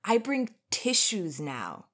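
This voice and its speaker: A woman's voice: medium pitch, huge pitch swings, slow, fairly bright tone, exaggerated voice. An actress playing an earnest, polite young woman in a radio drama. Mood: fond, reminiscing.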